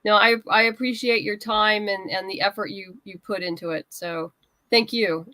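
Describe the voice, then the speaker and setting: A woman's voice: medium pitch, fairly even intonation, normal speed, pushed and bright, dry recording, rough recording. A woman speaking as an interview guest, chatting casually as a creator talking up a project. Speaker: guest writer and comics creator. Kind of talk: casual interview chat, plugging a crowdfunding campaign.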